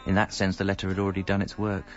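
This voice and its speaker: A man's voice: deep voice, completely flat delivery, racing, natural voice. An actor playing a middle-aged British married man who confesses his double life in a wry, articulate, self-mocking way, as radio comedy narration. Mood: wry, confessional. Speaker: narrator and lead character. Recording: dull lo-fi sound, faint music.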